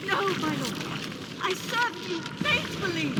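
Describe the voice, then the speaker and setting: An actress playing a fanatical cultist villainess devoted to a dark master, with drawn-out, overwrought delivery in a fantasy video game. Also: female voice, extremely high pitch, expressive, exaggerated voice. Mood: fanatical, desperate. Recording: loud background noise, distant game audio.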